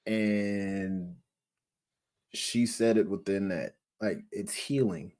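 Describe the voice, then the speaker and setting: A young man co-hosting a casual music review podcast, unhurried and emphatic, often restarting his sentences. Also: low voice, fairly even intonation, a little mellow. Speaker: podcast co-host.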